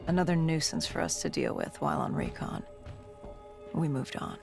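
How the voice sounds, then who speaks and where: An actor voicing a grim, augmented soldier in a video game cutscene, narrating in a somber, measured, clipped way with a breathy, gravelly edge. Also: mid-range voice, very animated, music in the background. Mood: grim, reflective. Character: grim cybernetically augmented soldier recounting a past disaster.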